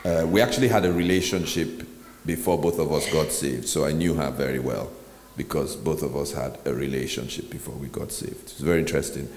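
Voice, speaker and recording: A man, a pastor, speaking reflectively in an onstage interview and pausing with frequent ums. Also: very deep voice, a little mellow. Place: large hall. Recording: echoey hall with faint noise.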